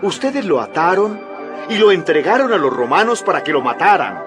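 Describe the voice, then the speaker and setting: A fervent apostle in a dramatized audio Bible. His fairly high voice swings widely as he declaims boldly and emphatically to his listeners, with music playing clearly behind him. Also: very animated, moderate pace. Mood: earnest, firm. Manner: declamatory, emphatic public address. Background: background music.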